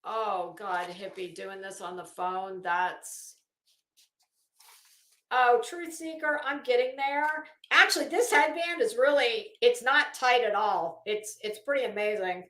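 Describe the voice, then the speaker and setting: Woman, high voice, slow pace, mocking and foul-mouthed, livestream host reading and commenting. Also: a bit bright. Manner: sarcastic, mocking, profane. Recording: echoey untreated room.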